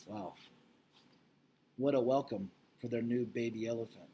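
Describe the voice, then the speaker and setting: A man's voice: medium pitch, a little slow, warm tone, slight background noise. A man reading a children's storybook aloud as narrator. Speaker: read-aloud reader.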